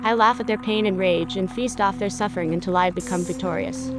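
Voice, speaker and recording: A woman voicing a sadistic, bloodthirsty little girl gamer in a comedic animated skit, with florid, menacing villain speech and music playing underneath. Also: medium pitch, a little fast, close to an everyday voice. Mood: menacing glee.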